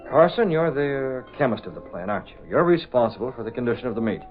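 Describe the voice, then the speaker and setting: Male actor as composed, shrewd publisher, husky and varied in pitch, vintage radio drama on dull recording. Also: big pitch swings, fast talker, soft background music, close to an everyday voice. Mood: suave, calculating. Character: composed, shrewd male newspaper boss with secret identity. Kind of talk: 1930s-40s radio drama acting. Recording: muffled old radio recording.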